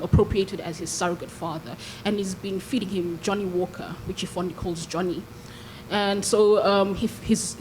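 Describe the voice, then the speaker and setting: A woman novelist talks through her book and then reads a passage aloud at a literary panel. Her voice sits in a middle register, measured and full of small pauses. A faint low rumble sits behind her. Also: rough recording. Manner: measured, with frequent ums, reading expressively. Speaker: novelist reading her work. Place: public hall.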